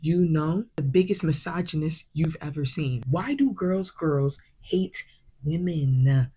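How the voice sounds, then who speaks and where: A woman's voice: low voice, expressive, takes it slowly, dark tone, husky. A woman with a New York accent chatting candidly and at length while doing her makeup in her glam room at home. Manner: verbose, bougie, candid. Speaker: vlogger.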